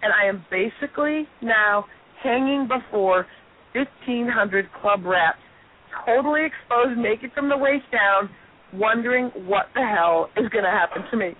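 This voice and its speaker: A hoarse middle-aged woman, a comedian and guest, telling a self-deprecating story in an animated way on a radio interview. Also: on the high side, unhurried. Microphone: telephone. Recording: tinny phone line.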